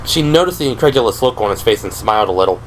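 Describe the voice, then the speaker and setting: A man's voice: lowish voice, lively intonation, rapid-fire, slight background noise, rough recording. A man reading scripted third-person narration for an audio drama. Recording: music behind the voice.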